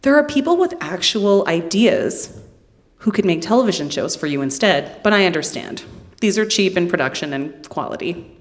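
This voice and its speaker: A woman poet reads her work live in a hall. Her voice sits a little low but moves widely up and down. The delivery is wry and deadpan in its humour, slipping between literary reading and casual asides. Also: normal speed. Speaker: poet reading from own book.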